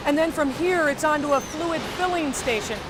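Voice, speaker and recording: A woman's voice: sits high, normal speed, noisy background. A middle-aged woman, a TV news reporter, delivering scripted news narration.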